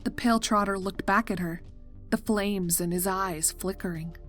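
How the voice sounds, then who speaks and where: A woman's voice: medium pitch, fairly even intonation, moderate pace. A woman reading scripted story narration for a fan fiction audio drama. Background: music.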